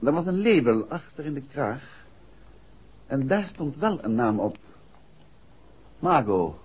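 An actor in a 1960s Dutch radio drama, playing a composed, courteous and astute gentleman detective who talks in polite, measured questions. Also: mid-range voice, very animated, husky, heavily acted. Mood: politely probing. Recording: dull, noisy 1960s radio recording.

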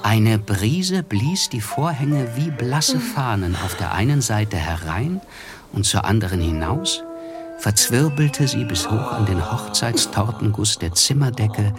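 An actor playing a reflective, polite young man who narrates a literary radio drama in a measured, descriptive way. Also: fairly low pitch, animated. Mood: wry, observant. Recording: music under the voice.

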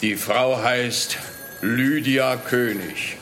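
Grumpy, sharp-voiced police officer. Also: male voice, medium pitch, fairly even intonation, extremely slow, faint music behind. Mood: grumbling, dry. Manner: indignant, sardonic, complaining. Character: grumpy, overworked, exasperated male police officer. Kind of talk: German-language radio crime comedy acting.

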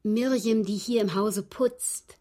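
A woman's voice: medium pitch, takes it slowly, mellow. A woman playing a genteel, unruffled widowed lady in a radio drama, politely and precisely confessing with cool amusement. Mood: chatty, matter-of-fact. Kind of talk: German radio drama acting.

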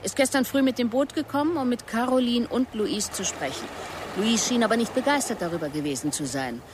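A woman's voice: on the high side, animated, fairly quick, noisy background, lightly acted. A woman acting in a German radio drama as a composed police investigator, formal and controlled as she questions. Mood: matter-of-fact. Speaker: actor playing a police investigator.

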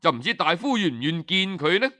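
A man's voice: a little high, big pitch swings, a little fast, bright, forceful tone. A man voicing an earnest, persuasive servant of ancient China in a storybook tale, plaintive and emphatic. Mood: coaxing.

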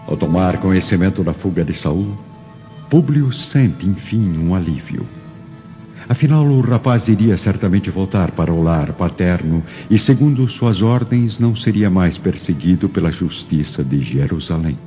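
A man's voice: deep voice, animated, moderate pace, warm tone. A man giving solemn, theatrical scripted narration for a drama. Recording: muffled audio with faint music.

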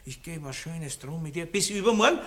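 Ein Schauspieler mit österreichischem Akzent spielt in einem Hörspiel einen großspurigen, lüsternen Landbaron mittleren Alters, der schwadroniert und prahlt. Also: male voice, on the high side, animated, fairly quick, pushed and bright. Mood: leering. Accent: Austrian. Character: boorish lecherous middle-aged country baron. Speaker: radio play actor. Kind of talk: 1960s radio drama acting.

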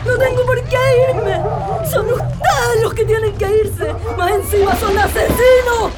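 A woman's voice: very high voice, measured pace, over a noisy crowd, heavy background noise. An actress with a Chilean accent playing a distraught, defiant mother in a radio drama, indignant and accusing as she pleads. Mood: furious, defiant. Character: distraught, defiant mother whose home is raided. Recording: loud music and commotion behind.

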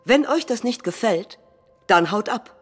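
A woman's voice: fairly high pitch, animated, a little slow, faint music behind. A woman playing a blunt, alarmist anti-immigration president, reading a political speech on stage with emphatic delivery. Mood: blunt, stern.

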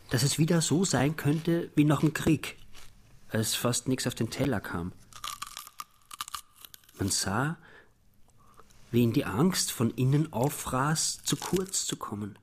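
A man's voice: medium pitch, slight background noise, natural voice. An actor playing a timid, childlike man in his forties in a radio play, speaking hesitantly and plaintively. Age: 40s. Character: timid, childlike younger brother turned hapless robber. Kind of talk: radio play dialogue and monologue.

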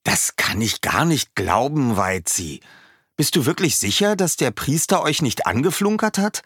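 A man voicing a curious, sceptical talking swallow who keeps asking doubting questions, with a husky voice. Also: mid-range voice, sing-song, unhurried, fairly bright tone, dry recording, clean studio sound, voice pushed up, exaggerated voice. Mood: doubtful.

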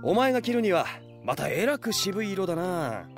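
Easygoing teaser, lively swooping pitch. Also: male voice, normal speed, over music. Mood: teasing. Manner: casual, teasing, drawling. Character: easygoing teasing husband, casual and confident. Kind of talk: Japanese audio drama acting.

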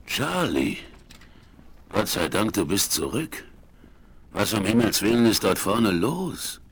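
An actor playing a gruff, incredulous Western sidekick in a radio play, blustery and exclamatory. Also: male voice, on the low side, sing-song, takes it slowly, slight background noise, heavily acted. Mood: alarmed, baffled. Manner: blustery, exclamatory, English oaths. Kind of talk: 1970s radio play acting.

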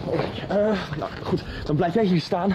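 An actor playing a bold, brisk young man in a radio play. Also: high voice, highs cut off, natural voice. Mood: urgent. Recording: radio play with street noise.

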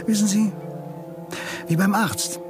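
A man's voice: high voice, slow pace, loud music behind the voice. A man playing a smug, condescending middle-aged district politician in a German radio crime drama, patronizing and sardonic. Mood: needling. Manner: patronizing, sardonic, chuckling asides. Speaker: actor playing a district administrator.

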